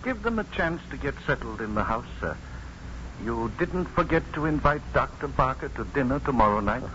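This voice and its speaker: Earnest, formal manservant, 1950s radio style, animated intonation, dull vintage sound. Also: male voice, medium pitch, normal speed. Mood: earnest, deferential. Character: deferential, earnest manservant and loyal companion to a doctor. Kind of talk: 1950s radio drama acting. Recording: muffled, noisy 1950s radio recording.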